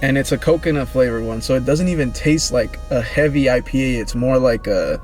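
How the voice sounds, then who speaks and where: A man's voice: mid-range voice, brisk, darkish tone, soft background music. Laid-back young man chatting to camera. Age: 20s. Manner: laid-back, rambling, slangy. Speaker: vlogger. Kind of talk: casual get-to-know-me chat.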